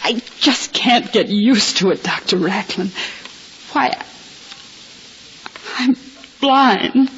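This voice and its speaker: A woman's voice: fairly high pitch, slow, long pauses, fairly bright tone, slight background noise. An actress playing a fragile, pensive young American woman in a 1950s radio drama, speaking in a dramatic, reflective way. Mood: despairing. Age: young adult. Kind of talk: 1950s radio drama acting and first-person narration. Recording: muffled old radio transcription.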